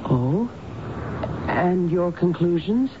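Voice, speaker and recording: A woman's voice: fairly low pitch, expressive, very slow. An actress playing a troubled, weary married woman who is a psychiatric patient in a radio drama, with a hesitant, questioning delivery. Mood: tentative. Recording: dull, noisy old radio broadcast.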